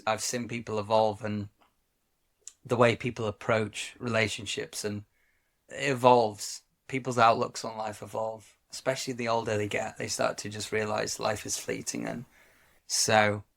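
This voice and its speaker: A man from Wales co-hosting a podcast, talking reflectively in a casual chat, often pausing and restarting his sentences. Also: lowish voice, flat delivery, creaky, fairly bright tone. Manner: reflective, halting, many restarts. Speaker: podcast co-host.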